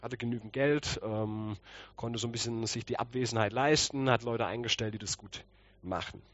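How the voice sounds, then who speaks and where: A man's voice: medium pitch, steady tone, normal speed, a bit bright, no echo, highs cut off. A man with a slight cold, preaching conversationally and explaining with rhetorical questions. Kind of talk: conversational sermon.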